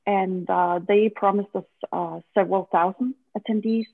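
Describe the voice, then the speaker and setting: A woman's voice: mid-range voice, steady tone, normal speed, vocal fry, no room sound. A woman in her forties, an event strategy consultant, answering interview questions in a casual, hesitant way with lots of fillers. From Denmark. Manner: hesitant, many fillers, laughs easily. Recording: dry tinny phone-like line.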